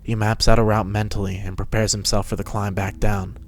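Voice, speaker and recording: A man's voice: lowish voice, level tone, brisk. A man narrating a fan-fiction story as a scripted dramatic reading.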